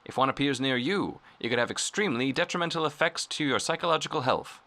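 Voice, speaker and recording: A man playing an earnest old-time radio pitchman, delivering a polished, salesmanlike vintage advertisement. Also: fast talker, bright, forceful tone, natural voice.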